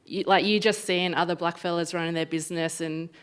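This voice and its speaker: A woman's voice: on the low side, fairly even intonation, a little fast, clean tone. A woman answering on a panel, hesitant with many fillers. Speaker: guest business owner. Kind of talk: panel discussion.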